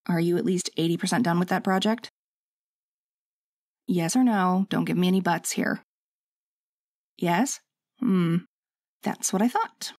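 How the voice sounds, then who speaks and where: A woman's voice: lowish voice, dry recording, clean studio sound. A woman playing a bossy, sassy but loving wife in an intimate ASMR audio roleplay, talking in a conversational, scolding and coaxing way. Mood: firm, sassy.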